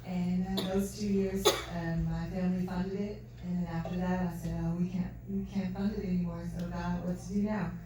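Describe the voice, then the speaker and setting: A soft-spoken woman giving an earnest, faith-filled talk at an event, recorded from a distance in a large hall. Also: on the low side, flat delivery, normal speed, few pauses. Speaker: event speaker. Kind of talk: testimony talk. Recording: distant mic, echoey hall, faint noise.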